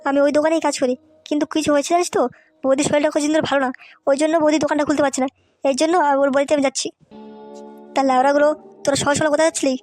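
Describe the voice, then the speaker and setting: A foul-mouthed young village man from a comedy cartoon, put on by a performer, brash and slangy. The voice is high and rattles along quickly over background music. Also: natural voice. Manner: crude slangy banter, brash. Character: foul-mouthed young village slacker men, scheming, teasing friends. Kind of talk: comic cartoon dialogue. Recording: music behind the voice.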